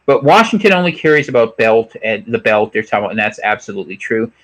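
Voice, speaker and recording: A man's voice: fast talker, low-quality recording. An American man hosting an unscripted livestream, reading chat comments aloud and giving opinionated, digressive commentary. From United States. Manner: animated, opinionated, digressive, pitch jumps up sharply on emphatic points.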